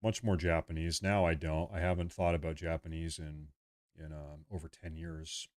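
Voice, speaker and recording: A man's voice: extremely low pitch, little pitch movement, moderate pace, a little mellow. A young man hosting a casual livestream, rambling and repeating himself as he talks to his viewers. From United States. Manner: rambling, repeats himself, reads chat aloud. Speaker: livestream host.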